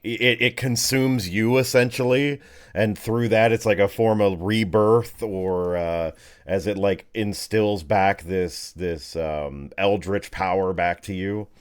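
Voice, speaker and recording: A man with a lowish voice runs a tabletop game as game master. He improvises narration casually and sounds encouraging and easily amused. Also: smooth.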